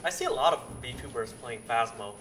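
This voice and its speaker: Halting male game streamer, distant mic. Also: medium pitch, expressive, fairly bright tone. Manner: hesitant, halting, thinking aloud. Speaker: live streamer playing a strategy game. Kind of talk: casual game stream chat. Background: game music and sounds.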